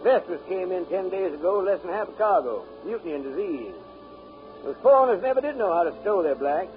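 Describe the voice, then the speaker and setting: An actor playing a coolly callous, businesslike shipping agent with a dry official manner in a 1930s radio drama. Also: male voice, high voice, expressive, a little fast. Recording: dull, noisy 1930s radio recording.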